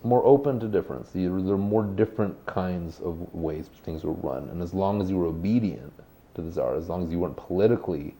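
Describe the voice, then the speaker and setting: Man, very low gravelly voice, dark tone, thoughtful guest talk with restarts. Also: brisk. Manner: thoughtful, many restarts and hedges. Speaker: author and guest. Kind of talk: conversational history explanation.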